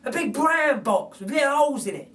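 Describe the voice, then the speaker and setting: A man's voice: extremely high pitch, lively intonation, fairly quick, a bit bright, voice pushed up. A man voicing a good-natured, plain-spoken working-class male burglar with colloquial speech in a children's storybook. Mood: matter-of-fact. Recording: distant microphone.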